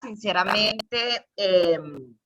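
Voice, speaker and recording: A woman's voice: mid-range voice, lively intonation, very slow, distant mic, music in the background. A middle-aged or older woman from Venezuela speaks earnestly and reflectively on a video call. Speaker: participant giving thanks. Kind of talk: reflective, heartfelt talk. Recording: dull video-call audio.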